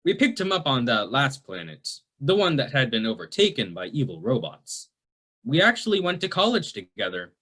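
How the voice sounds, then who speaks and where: A man's voice: medium pitch, very animated, normal speed, sharp tone, husky, exaggerated voice. A man playing a cocky, dim heroic spaceship captain with self-important bravado in a campy sci-fi radio play.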